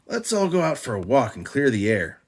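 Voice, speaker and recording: A man's voice: mid-range voice, wildly swooping pitch, fairly quick, pitched above the performer's own voice. A man voicing an exasperated but jolly father bear in a storybook, blustery and full of exclamations. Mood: hearty.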